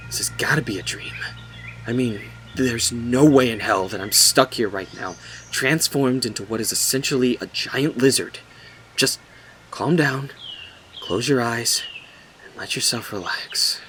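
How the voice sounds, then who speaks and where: A man's voice: mid-range voice, unhurried, fairly bright tone, a little hiss or hum, lightly acted. A man voicing a sarcastic, foul-mouthed young man turned lizard creature in a dramatized audiobook, talking to himself. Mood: anxious, self-soothing. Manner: exclamatory, swearing, talking to himself.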